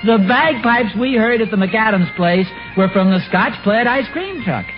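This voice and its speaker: A man's voice: sits high, lively intonation, normal speed. An actor playing a flustered, easily spooked suburban father in a stammering, exasperated 1940s radio sitcom style. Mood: unconvinced. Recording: muffled old radio broadcast, music behind.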